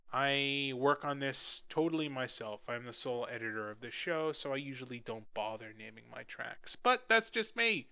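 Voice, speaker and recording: A young man with a bright, crisp voice at a middling pitch talks casually through an editing tutorial. He is offhand and self-deprecating, recorded close on the mic as a voice-over. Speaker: audio drama editor giving a tutorial.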